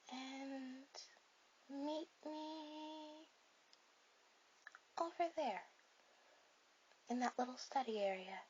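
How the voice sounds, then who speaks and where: A shy, bookish teenage anime girl, played by a woman, speaks in a high, gentle, hesitant voice as if alone with her date. It is a sweet, soft ASMR roleplay, recorded somewhat dull with a faint bed of hiss. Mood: helpful, sweet. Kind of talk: ASMR romantic roleplay addressed to the listener. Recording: dull lo-fi audio with clear hiss.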